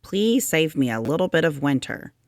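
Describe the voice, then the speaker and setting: A small, sleepy hedgehog chap, voiced by a woman reading a storybook. He explains things patiently and a little bossily, with emphatic, lively intonation in a dry, close recording. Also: sits low, moderate pace, dry recording. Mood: instructing. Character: small male hedgehog, sleepy, patient, gently bossy friend.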